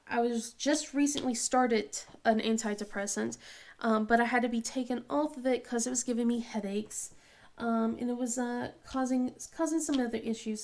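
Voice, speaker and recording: A woman chatting casually as she works on a craft project, halting with many ums and restarts, sniffly from a cold. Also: on the high side, darkish tone. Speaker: diamond painting vlogger. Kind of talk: casual chat while crafting.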